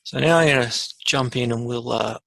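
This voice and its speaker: A man presenting an informal, unscripted software tutorial, muttering asides to himself as he works. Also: medium pitch, a little fast, gravelly, no room sound. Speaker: webinar presenter.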